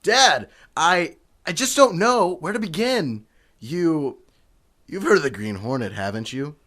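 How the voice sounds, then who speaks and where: An actor playing an earnest young publisher who is secretly a vigilante, speaking forthrightly in old-time radio drama style. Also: male voice, fairly low pitch, lively intonation, normal speed, close to an everyday voice. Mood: hesitant, confessing.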